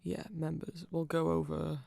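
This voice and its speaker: A performer voicing a nerdy vampire in a casual ASMR roleplay, explaining things in a hesitant, digressive, conversational way with a creaky voice. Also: mid-range voice, completely flat delivery, a little slow, mellow, dry recording. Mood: nerdy, rambling. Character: nerdy rambling vampire, eager fan explaining band lore.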